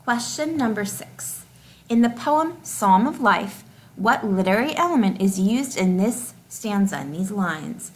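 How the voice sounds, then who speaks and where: A woman with an average-pitched voice reads out a test like a teacher. Her delivery is deliberate and enunciated, with the numbered questions and their options kept clearly separate. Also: expressive, low-quality recording. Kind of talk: reading test questions and answer options aloud.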